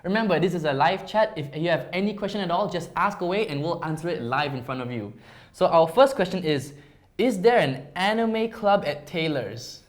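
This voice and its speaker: A woman's voice: lowish voice, animated, moderate pace. A young woman, herself a student, hosting a live chat in a bubbly way, laughing easily and stumbling over repeated words. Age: young adult. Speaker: host.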